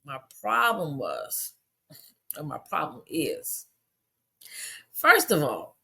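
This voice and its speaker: A hoarse woman hosting her own podcast, talking in an animated, indignant and emphatic way. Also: sits low, slow pace. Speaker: podcast host. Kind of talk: casual monologue podcast.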